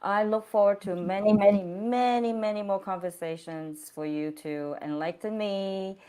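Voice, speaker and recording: A woman's voice: medium pitch, steady tone, moderate pace, dark tone, clean tone. A woman hosting an online interview over a video call, speaking in an effusive, encouraging way and laughing often. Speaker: host.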